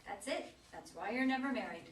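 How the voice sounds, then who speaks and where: A woman's voice: fairly high pitch, expressive, natural voice. Woman playing forgetful elderly mother, echoey, distant. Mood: blunt, confused. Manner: halting, questioning, forgetful. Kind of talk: staged play reading. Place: echoey room at a live play reading. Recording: distant, echoey room with loud noise.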